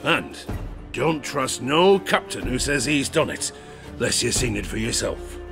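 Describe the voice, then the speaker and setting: Gravelly old sea dog for audio drama: salty, conspiratorial yarn-spinner, swooping from hushes to big swells, faint ambience behind. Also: male voice, medium pitch, expressive, a little slow, music in the background. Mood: ominous. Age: older. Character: old one-legged sailor Lars, spinning dark sea tales. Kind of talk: theatrical storytelling in an audio drama.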